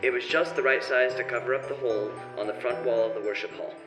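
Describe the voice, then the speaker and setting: A man's voice: mid-range voice, fairly even intonation, fast, over music. Echoey male narrator, distant from mic. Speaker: narrator of a radio play. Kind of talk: scripted storytelling in old-time radio manner.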